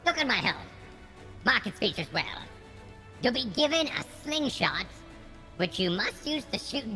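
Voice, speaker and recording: A man voicing a gruff old male dwarf mining chief with a folksy brogue, saying aye and lad. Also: high voice, pushed and bright, big-room sound, pitched above the performer's own voice, heavily acted, poor audio. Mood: proud.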